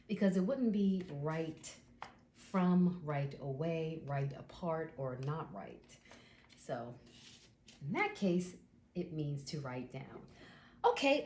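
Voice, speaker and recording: A woman teacher explains word roots at an unhurried, patient pace, repeating key words as she thinks them through. Her pitch rises and falls with lively swings. The recording carries a roomy echo and a faint hiss behind her. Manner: slow, patient, drawn-out explaining.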